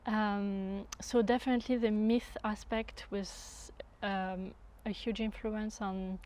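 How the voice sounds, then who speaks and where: A woman in her thirties from France, a guest sound artist talking unhurriedly and often pausing to search for words. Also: mid-range voice, flat delivery, a little mellow. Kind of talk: casual conversation.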